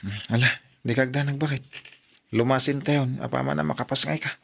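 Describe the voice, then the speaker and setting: A man's voice: medium pitch, steady tone, a little fast, vocal fry, fairly bright tone, slight background noise. A young husband and son in a radio drama. He sounds earnest and patient. His delivery is pleading and protective. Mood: reassuring.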